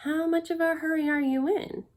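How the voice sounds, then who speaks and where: Woman voicing Morwen, a brisk no-nonsense witch. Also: sits high, steady tone, pitched above the performer's own voice, natural voice. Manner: firm, no-nonsense. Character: brisk, bossy, practical witch.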